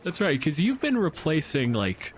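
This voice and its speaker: A man's voice: a little high, wildly swooping pitch, normal speed, slight background noise. A man acting as game master, talking casually and informally as he narrates and questions the players.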